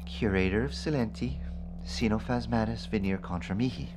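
An actor playing a solemn occult medium, intoning ritual invocations in a measured, ceremonial way for an audio drama. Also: male voice, fairly low pitch, background noise, natural voice. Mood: solemn, reverent.

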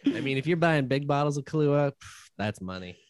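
A man's voice: on the high side, animated, brisk, clear, resonant tone, dry recording, studio quality. A man co-hosting a podcast, joking and teasing the guest in casual chat. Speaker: podcast co-host.

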